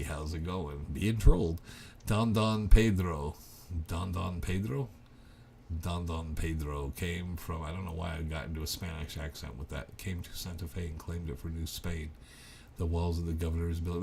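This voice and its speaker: A middle-aged man with a very deep, gravelly voice chats loosely on a livestream, rambling and cutting himself off mid-thought. A faint hiss of noise sits under his voice. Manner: rambling, chatty, self-interrupting. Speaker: livestream host. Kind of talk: casual livestream chat reading viewer comments.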